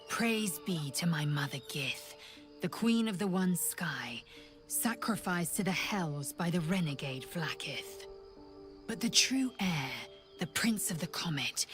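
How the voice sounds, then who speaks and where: A proud, disdainful alien warrior woman for a fantasy video game. Her voice sits low and she takes her time, with formal, severe phrasing that carries haughty authority. Faint music plays underneath. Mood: solemn reciting.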